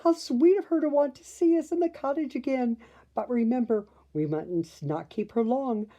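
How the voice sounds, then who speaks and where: A woman voicing a fond, fussing female good fairy in a storybook, fluttery yet brisk. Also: high voice, warm tone, voice pushed up. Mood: fluttered, fond. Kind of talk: storybook character voice.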